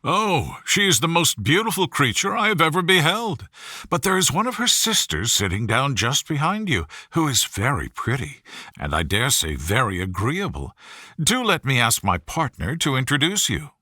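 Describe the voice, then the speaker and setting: A man voicing a cheerful, amiable young gentleman in a storybook reading, enthusiastic and exclamatory. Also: medium pitch, big pitch swings, moderate pace, dry recording, clean studio sound. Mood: eager admiration.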